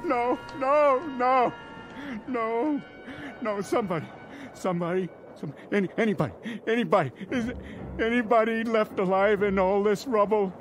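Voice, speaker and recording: A man's voice: high voice, normal speed, faint music behind, lightly acted. An actor playing a desperate man who believes he is the last person alive, pleading and breaking down. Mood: despairing. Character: desperate grown man alone in a ruined city. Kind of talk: radio drama acting.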